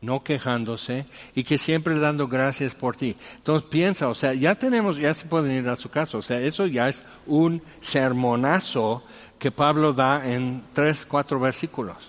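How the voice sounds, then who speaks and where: A man's voice: mid-range voice, unhurried. A man with a Mexican accent giving an informal, conversational sermon as a pastor, rambling with joking asides. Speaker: pastor preaching. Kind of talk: informal expository sermon with anecdotes. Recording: echoey hall, dull muffled sound.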